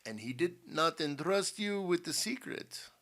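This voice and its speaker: A man voicing an imperious, menacing general who interrogates in formal, commanding speech and is quick to anger, in an audiobook story. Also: fairly high pitch, sing-song, sharp tone, voice pushed up, full character voice. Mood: menacing, coaxing.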